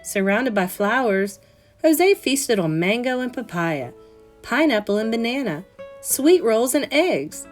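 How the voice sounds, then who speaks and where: A woman, a teacher, reading a children's picture book aloud in an expressive, carefully phrased, dramatic manner. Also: fairly high pitch, measured pace. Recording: soft guitar music behind voice. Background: plucked guitar music.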